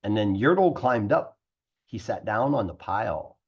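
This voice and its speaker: A man reading a rhyming children's storybook aloud as expressive narration, like a teacher. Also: lowish voice, moderate pace, breathy edge, muffled recording.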